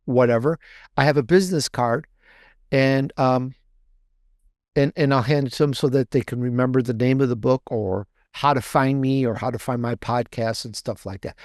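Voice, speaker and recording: A man's voice: mid-range voice, normal speed, darkish tone, dry recording, clean studio sound. Chatty, rambling man in casual conversation. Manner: chatty, rambling, repeats and restarts phrases. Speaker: podcast guest, author and podcaster. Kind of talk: casual, conversational interview answer.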